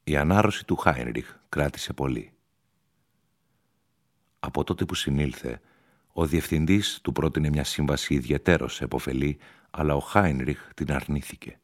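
A man narrating a literary radio play in a measured storytelling manner. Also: rumbling low. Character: male storyteller of a gothic theatre tale.

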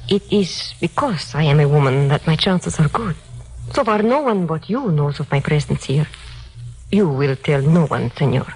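A proud young Mexican widow bent on revenge in an old 1950s radio play. Her accented voice sits slightly low and swings expressively, with courteous, formal, earnest phrasing. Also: expressive, normal speed, darkish tone, husky, heavily acted, rough recording. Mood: firm, determined. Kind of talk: 1950s radio drama acting.

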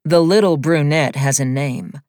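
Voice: mid-range voice, measured pace, darkish tone, dry recording, studio quality, lightly acted. A narrator voicing a wry, composed young woman flight attendant in an audiobook. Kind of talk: audiobook character voice.

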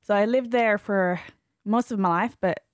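Italian woman, mixed accent, laughs easily, halting, dry mic, mid pitch. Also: dry recording. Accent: mixed Italian, American and Australian. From northern Italy. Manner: warm, giggly, a little halting. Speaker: podcast guest, architect. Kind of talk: casual interview.

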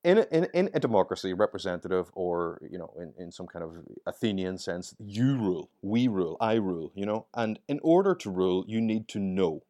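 A man's voice: deep voice, very animated, fairly quick, hardly any pauses, mellow. A man in his forties with an Irish accent, a guest commentator talking at length in a podcast discussion, often repeating words as he starts a sentence. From Ireland.

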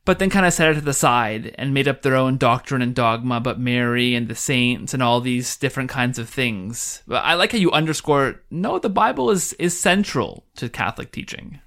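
Male podcast host, mid-pitched voice. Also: animated, moderate pace, few pauses, a bit bright. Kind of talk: casual interview conversation.

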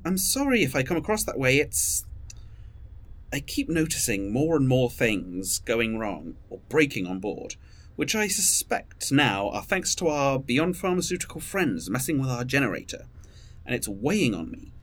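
A man's voice: mid-range voice, sing-song, measured pace. An actor playing an earnest, burdened, pragmatic train crewman in a sci-fi audio drama, serious and matter-of-fact. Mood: tense, defensive.

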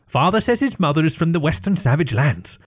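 Prim young noble girl, gossipy, high-pitched, voiced by a man. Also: big pitch swings, fairly quick.

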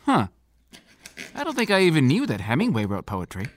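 A man playing an easygoing, gently teasing middle-aged small-town sheriff and father in an audio drama, with a dry, unhurried manner. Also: on the high side, wildly swooping pitch. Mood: wry, teasing. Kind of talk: naturalistic audio drama acting.

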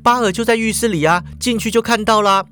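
A woman's voice: a little high, a bit bright, a little hiss or hum, voice pushed up, natural voice. A man putting on a pouting feisty young woman. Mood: exasperated. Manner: whiny, pouting, quick to argue. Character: feisty sulky young woman caught in danger.

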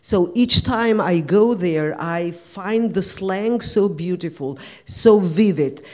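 Albanian woman, dark soft voice, halting. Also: lowish voice. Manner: thoughtful, halting, searching for words. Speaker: writer on a panel. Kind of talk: reflective panel discussion. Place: lecture event before an audience.

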